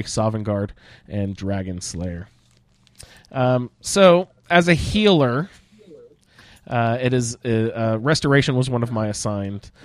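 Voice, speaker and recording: A man hosts a casual gaming podcast at a middling pitch. His phrasing is halting, with pauses to search for words. The sound is dry and close to the mic. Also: darkish tone, dry recording. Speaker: podcast host. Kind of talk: casual roundtable chat about a video game.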